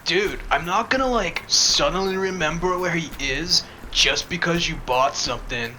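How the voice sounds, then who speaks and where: A man's voice: a little high, lively intonation, normal speed, bright, forceful tone, a little hiss or hum, low-quality recording. A man playing a mouthy stoner street drug dealer with drawling, slangy speech in an audio drama. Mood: mocking, evasive. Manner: drawling slang, mocking, says dude constantly. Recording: music behind the voice.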